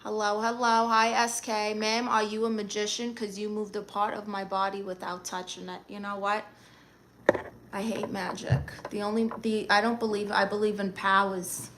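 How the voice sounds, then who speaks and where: A woman's voice: medium pitch, little pitch movement, normal speed, background noise. A woman from Brooklyn, chatting casually on a live stream, greeting viewers and firing back sassy, playful comebacks to their comments. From Brooklyn, New York. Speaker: livestreamer.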